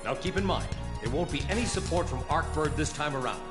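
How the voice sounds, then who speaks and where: An actor playing a self-important staff advisor, giving a formal military briefing in a video game. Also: male voice, fairly high pitch, animated, a little fast, over music, lightly acted. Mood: officious, urgent. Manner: formal, officious.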